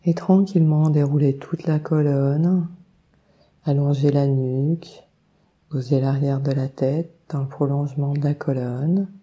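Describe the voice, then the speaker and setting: A woman leading a guided meditation, giving calm, soothing instructions with long unhurried pauses. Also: deep voice, dull audio. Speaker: yoga nidra meditation guide.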